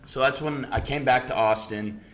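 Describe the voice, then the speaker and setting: A man from Houston, a startup founder, telling his story in a relaxed interview, sprinkling in lots of "you know" and "um". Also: mid-range voice, moderate pace. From Houston, Texas.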